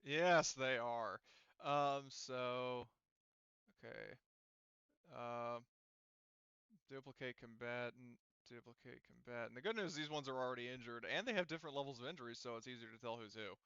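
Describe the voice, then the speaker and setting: A man's voice: mid-range voice, moderate pace, a bit bright, clear, resonant tone, dry recording, lo-fi sound. A man casually running a tabletop game as game master, thinking aloud and often muttering through the steps to himself.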